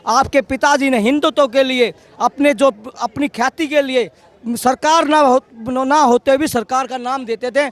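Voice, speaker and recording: A man giving his opinion in a street interview, speaking formally and deferentially in a halting way. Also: very high-pitched, steady tone. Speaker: member of the public being interviewed.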